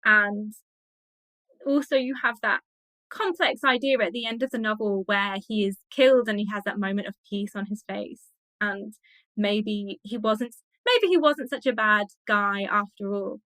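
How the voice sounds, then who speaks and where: A woman's voice: medium pitch, a little slow, sharp tone, dry recording, rough recording. A woman speaking as an interview guest, chatting casually and enthusiastically like an academic who loves the subject. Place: indoor office on a video call.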